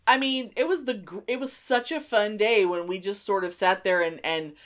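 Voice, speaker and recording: A middle-aged woman co-hosting a podcast in casual, unscripted chat, animated and informal with easy swearing. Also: medium pitch, moderate pace. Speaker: podcast co-host.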